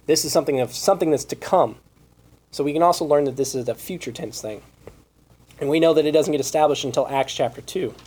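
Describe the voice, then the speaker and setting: A man leads a scripture study in a sermon, his voice sitting a little high for a man. He reads verses aloud and poses rhetorical questions. His voice is wearing thin and turning hoarse. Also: darkish tone. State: losing his voice. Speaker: preacher.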